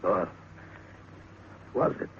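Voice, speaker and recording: A man narrating a scripted horror story dramatically, in a suspenseful, measured manner with deliberate pauses. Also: background noise. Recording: muffled old radio sound with music.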